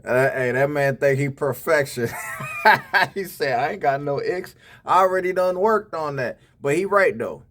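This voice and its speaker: A man's voice: fairly high pitch, expressive, a little slow, a bit bright, slight background noise. A man casually reacting to a dating podcast clip, giving blunt, slangy relationship advice to the fellas and the ladies. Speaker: reaction channel host. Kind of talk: casual opinionated commentary.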